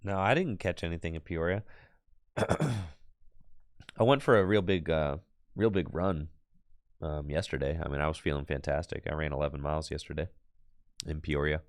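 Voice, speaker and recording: A man's voice: extremely low pitch, normal speed, long pauses, no room sound, clean studio sound. A man streaming a card game, losing his voice to allergies, muttering his plays aloud and chatting with viewers. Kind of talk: casual live-stream game commentary.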